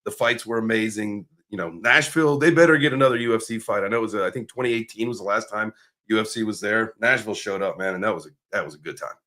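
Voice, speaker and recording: A man hosting a casual crypto livestream. His voice is raspy and hoarse, and he talks in upbeat hype with lots of filler words. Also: lowish voice, fast talker, sharp tone. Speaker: livestream host.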